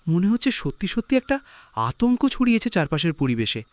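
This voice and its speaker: A man's voice: high voice, very animated, fast talker. A man playing a troubled young son, questioning and doubtful, in a horror cartoon. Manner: anxious, questioning. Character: troubled young son, sceptical but growing afraid. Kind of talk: Bengali horror cartoon dialogue. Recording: faint noise with chirping crickets.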